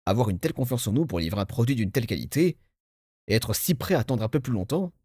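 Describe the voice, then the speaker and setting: A man playing a pompous, self-satisfied game narrator with formal, measured, grandiloquent delivery. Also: mid-range voice, very animated, mellow, husky, dry recording, studio quality. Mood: moved, grandiose. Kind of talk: theatrical scripted game narration in French fan dub.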